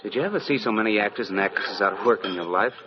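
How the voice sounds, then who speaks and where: Man acting a low-voiced, wry struggling actor. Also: very fast, natural voice. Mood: coaxing. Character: wry, jealous out-of-work actor, coaxing boyfriend. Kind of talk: 1940s radio drama acting. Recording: muffled vintage radio recording, crowd behind. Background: busy crowd chatter.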